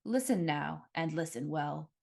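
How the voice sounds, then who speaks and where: A woman's voice: low voice, lively intonation, gravelly, darkish tone, heavily acted. Woman voicing a cold, menacing demon in man's skin. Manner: slow, deliberate, formal, threatening. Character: menacing demon possessing a man, cold and formal.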